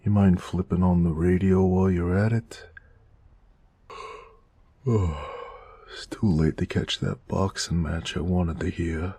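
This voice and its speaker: A man's voice: level tone, a little slow, long pauses, warm tone, no room sound, heavily acted. A man playing a weary, teasing, affectionate noir mobster in an intimate romance audio roleplay, murmuring and sighing in old-time gangster slang. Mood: tender, relaxed. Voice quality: very deep, gravelly, breathy murmurs.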